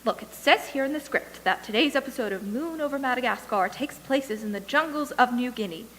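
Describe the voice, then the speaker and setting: A woman acts out a brisk, exasperated radio producer in an old-time radio comedy. Her voice sits high and bright and cuts sharply through the room. It is recorded in a reverberant hall with some background hiss. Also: animated. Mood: impatient, dismissive. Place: stage in a hall, live audience performance. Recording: echoey hall reverb, hissy background noise.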